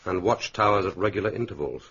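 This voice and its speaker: An actor playing a cool, dry-witted secret agent in a radio drama, clipped, understated and wry. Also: male voice, low-pitched, fast, a bit bright, husky. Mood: wry, businesslike. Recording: dull radio drama recording with music.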